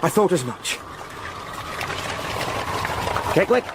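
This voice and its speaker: An actor playing an uneasy, educated solicitor in a gothic radio drama, with measured, articulate, old-fashioned speech. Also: male voice, high voice, sing-song, heavy background noise. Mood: alarmed. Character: uneasy, educated male solicitor recounting a haunting.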